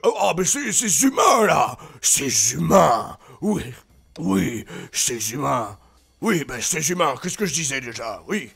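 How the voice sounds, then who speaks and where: A man voicing a pompous, forgetful cartoon king and father, loud, indignant and stammering. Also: on the high side, sing-song, slow, vocal fry, breathy edge, heavily acted. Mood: indignant, flustered.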